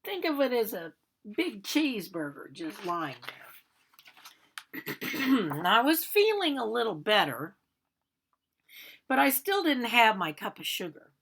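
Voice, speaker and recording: A woman's voice: on the high side, very animated, unhurried, pauses often, fairly bright tone, a little hiss or hum, full character voice. A woman voicing an aggrieved male big bad wolf, telling his own storybook tale in a chatty, theatrical way and protesting his innocence. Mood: self-justifying, dramatic. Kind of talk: animated storybook read-aloud.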